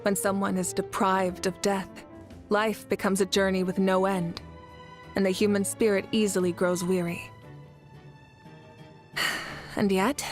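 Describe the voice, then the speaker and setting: A woman's voice: medium pitch, close to an everyday voice. Composed, dignified warrior leader played by a woman, over music. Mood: grave, respectful. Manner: composed, measured, thoughtful. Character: calm, confident young warrior woman leader, warm and dignified. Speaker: video game character voice. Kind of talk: scripted game character dialogue.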